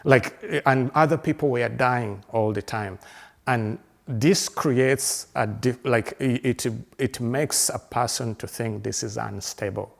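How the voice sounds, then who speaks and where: Halting, gravelly man in his fifties. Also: mid-range voice. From Uganda. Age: 50s. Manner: halting, with many fillers, restarts and repeated words; earnest and reflective. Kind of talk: reflective personal conversation.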